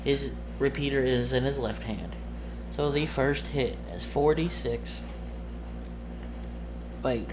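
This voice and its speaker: A man working as game master, casually narrating a tabletop game in a halting way, muttering through dice sums. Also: mid-range voice. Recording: clearly noisy background.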